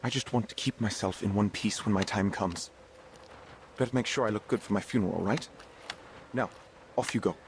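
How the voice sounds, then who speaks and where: A man's voice: on the low side, moderate pace, long pauses, lightly acted. Wry, world-weary captain played by a man, faint noise. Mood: wry, suspicious.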